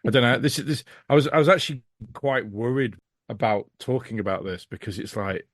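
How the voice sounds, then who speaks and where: A man's voice: mid-range voice, fairly quick, dry recording, clean studio sound. A British man as a podcast guest, chatting casually in a blunt, emphatic, sweary way. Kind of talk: casual chat.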